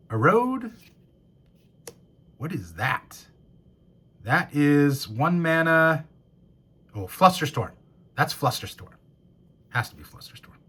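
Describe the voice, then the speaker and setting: Shop-owner host, 30s, male, hoarse and husky after a cold, lively pitch, excited casual unboxing patter. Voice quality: raspy. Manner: enthusiastic, reads card names and rules aloud. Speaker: game store owner. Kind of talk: casual box-opening commentary.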